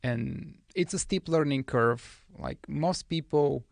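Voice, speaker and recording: A man in his thirties, originally from Bulgaria, speaking as a guest trader in a studio podcast interview with a soft, measured manner. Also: a little high, creaky, no echo.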